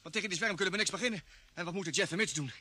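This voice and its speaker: An actor playing an excitable, alarmed spaceship crewman in an old radio drama. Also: high-pitched, racing, breathy edge, exaggerated voice. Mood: panicked, urgent. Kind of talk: 1950s Dutch radio drama acting. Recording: noisy old radio recording.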